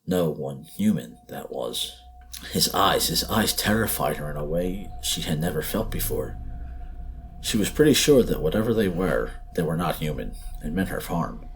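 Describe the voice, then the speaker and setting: A man's voice: extremely low pitch, animated, normal speed, creaky, a little hiss or hum, low-quality recording. A man reading a scripted horror story as narrator, in a dramatic, suspenseful, emphatic way.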